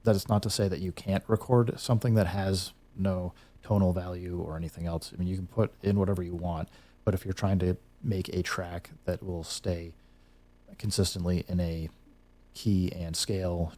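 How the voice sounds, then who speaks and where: A man's voice: low voice, little pitch movement, moderate pace, dark tone. A man hosting a casual, unscripted music gear tutorial, rambling a little as he thinks aloud while demonstrating.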